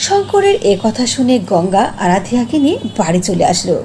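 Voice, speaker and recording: A woman storyteller reads a scripted fairy tale in a mellow, fairly high voice. Her intonation is lively, and there is faint noise behind her. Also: brisk.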